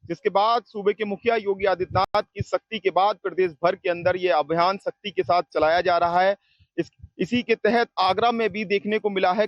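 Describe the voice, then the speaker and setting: A man's voice: high voice, no room sound. A man reporting from location for TV news in a wordy, repetitive way. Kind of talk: on-location TV piece to camera. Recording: dry, dull narrow-band sound.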